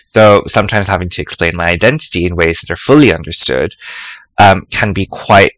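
Low, bright-toned male presenter; measured, reflective delivery with frequent pauses. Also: dry recording, rough recording. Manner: thoughtful, measured, pauses often to choose words. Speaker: podcast presenter at an academic symposium. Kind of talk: reflective spoken essay.

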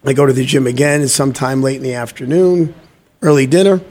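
A man's voice: mid-range voice, fairly quick, a little mellow. Upbeat guy from guyana, guest on a chat show, loves a good motto. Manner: upbeat, talks in motivational sayings. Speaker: guest entrepreneur. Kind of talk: casual interview chat.

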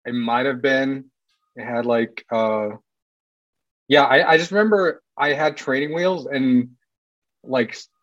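A man in his late thirties, raised in Sweden and California, chatting as a podcast guest in a loose, rambling way full of "like" fillers and quick laughs. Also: medium pitch, a bit bright, no echo, highs cut off. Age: late 30s. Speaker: podcast guest, cycling team runner. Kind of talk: casual interview chat.

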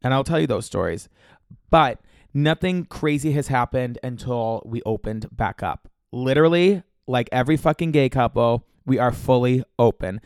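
A man's voice: medium pitch, moderate pace, a little mellow, smooth, dry recording. A young man rambles through his solo podcast, chatty and foul-mouthed, with a campy, gossipy delivery. He has a cold, so his throat is a bit scratchy and congested. The sound is close and dry with almost no room around it. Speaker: podcast host. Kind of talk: casual solo podcast monologue.

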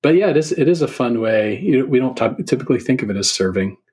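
A man's voice: mid-range voice, animated, fast talker, a little mellow, husky. A middle-aged man talking casually and thoughtfully as a podcast guest, feeling his way through his sentences. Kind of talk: casual reflective podcast conversation.

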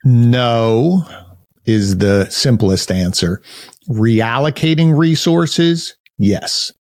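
A man with a loud, big voice, a marketing agency owner speaking as a podcast guest, explaining earnestly in long, rambling sentences. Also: mid-range voice, animated, extremely slow, dry recording, studio quality. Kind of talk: casual interview chat.

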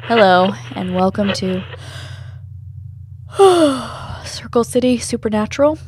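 An actress playing a wistful supernatural radio host in a scripted first-person audio drama. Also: female voice, on the high side, lively intonation, slow pace, a little mellow, breathy edge, full character voice. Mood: drowsy, dry. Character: wistful woman supernatural radio host telling her story. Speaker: radio show host and narrator.

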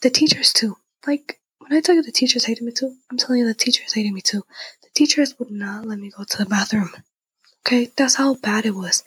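A young woman of nineteen shares a personal story in a candid, conversational way. Her voice sits fairly high and is very breathy and husky, recorded dry and close. She speaks casually and repeats phrases for emphasis. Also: fairly bright tone, dry recording, poor audio. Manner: youthful conversational, emphatic repetition.

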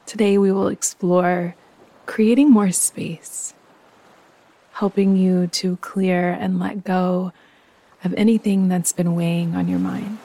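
A woman guiding a yoga nidra meditation in a soft, breathy voice, calm, soothing and unhurried. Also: lowish voice, low-quality recording. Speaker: meditation guide and host.

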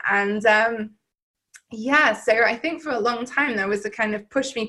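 A woman's voice: mid-range voice, a bit bright. Reflective woman with a Southern English accent. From Wales. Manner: reflective, rambling, with frequent fillers and restarts. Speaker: podcast guest. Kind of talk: candid personal storytelling in conversation.

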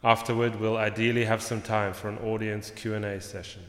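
A man plays a polished academic moderator in a radio drama. He speaks formally and rather pompously in a low-leaning voice, ringing through a very echoey auditorium. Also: flat delivery, a little fast, natural voice. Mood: formal, welcoming. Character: polished, courteous male professor moderating a panel. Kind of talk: formal introductions in a radio play. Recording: echoey auditorium with long reverb.

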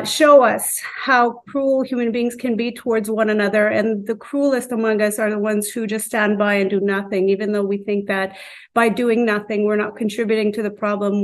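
A woman speaking as a high school teacher, explaining a lesson in an earnest, measured, deliberate way during an interview.

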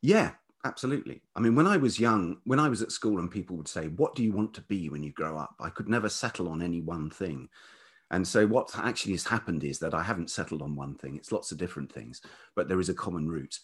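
Deep-voiced, middle-aged southern English man. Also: lively intonation, quick pace, slightly rough. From Kent, England. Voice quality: velvety. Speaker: podcast guest, writer and musician. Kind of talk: reflective personal storytelling in conversation.

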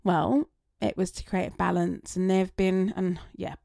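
Gravelly-voiced woman rambling chattily. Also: on the low side, steady tone, measured pace, dark tone, dry recording, clean studio sound. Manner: chatty, rambling, goes off on tangents. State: voice getting slightly raspy. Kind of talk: casual reflective monologue.

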